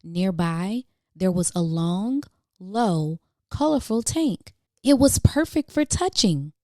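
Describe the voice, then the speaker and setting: A woman with a soft, mellow voice reads a children's picture book aloud. Her pitch moves in lively swoops as she plays up questions and exclamations for young listeners. The sound is dry and close. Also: slow pace, dry recording. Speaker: children's storybook reader, channel host.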